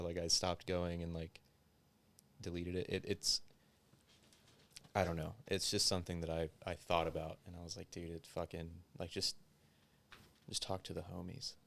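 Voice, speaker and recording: A man's voice: low-pitched, gravelly, fairly bright tone. A young man chatting casually as a podcast guest, rambling and profane, with lots of "like" fillers.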